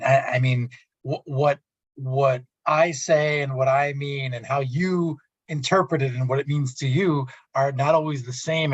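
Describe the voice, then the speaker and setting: A man hosting a radio interview in a conversational way, haltingly repeating and restarting his words as he sums up. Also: medium pitch. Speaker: radio talk show host. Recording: muffled, roomy, echoey audio.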